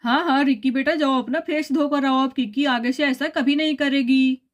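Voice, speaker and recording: A woman's voice: high-pitched, fairly even intonation, moderate pace, close to an everyday voice. Woman putting on a kindly cartoon dad. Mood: reassuring. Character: kindly father, gently chiding his children. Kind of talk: children's cartoon story dialogue.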